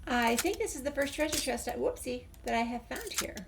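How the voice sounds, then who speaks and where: A woman's voice: high-pitched, expressive, brisk, creaky, noisy background. A woman hosting a gameplay video, thinking aloud in casual, rambling commentary. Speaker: gaming video host.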